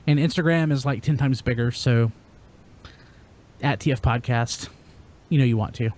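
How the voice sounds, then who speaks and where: A man's voice: medium pitch, moderate pace, darkish tone. A man hosting a podcast in a chatty, informal way, talking casually to listeners and co-hosts. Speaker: podcast host. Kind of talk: casual podcast talk, sign-off plugs.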